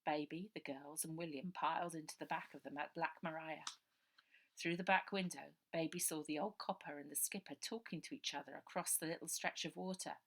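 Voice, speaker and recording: A woman's voice: sits low, steady tone, brisk, rough recording. A woman narrating a children's adventure story as its author, reading aloud.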